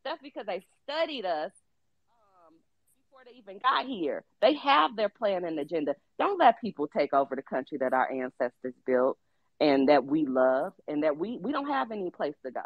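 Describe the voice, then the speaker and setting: A woman's voice: fairly low pitch, very animated, normal speed, no echo. Woman speaker, rallying and urgent, dry and muffled audio. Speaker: speaker in an online audio room. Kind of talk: political talk.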